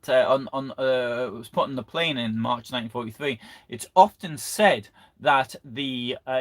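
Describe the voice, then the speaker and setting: A man presenting history in an unscripted live lecture, halting and often restarting his phrases. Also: mid-range voice. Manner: halting, repeats and restarts phrases mid-sentence. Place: live online broadcast.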